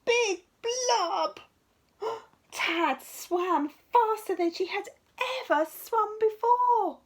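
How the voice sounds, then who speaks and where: A woman teacher reading a storybook aloud to young children in an expressive, dramatic way, with asides to the listeners. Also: extremely high pitch, extremely slow.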